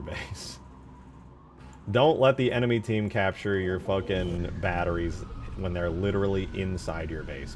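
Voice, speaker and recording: Deep-voiced man thinking aloud on a livestream. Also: noisy background. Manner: thinks aloud, informal slangy talk. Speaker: game modder streaming. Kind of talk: casual livestream commentary while building, answering chat. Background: music behind the voice.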